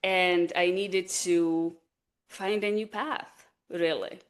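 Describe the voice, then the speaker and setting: A woman's voice: on the low side, fairly even intonation, clear, resonant tone. Earnest woman. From Czech Republic. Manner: earnest, measured, with small restarts and repeated words. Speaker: guest, energy healer. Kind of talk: reflective interview conversation.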